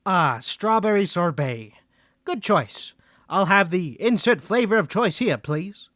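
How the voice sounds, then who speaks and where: Man playing a high-voiced, suave cartoony 1950s mafia boss. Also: animated, unhurried, fairly bright tone, no room sound. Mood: courteous, flirty. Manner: polite, self-assured, flirtatious. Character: suave flirty mafia boss on a blind date. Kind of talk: scripted roleplay ASMR, cartoony 1950s voice.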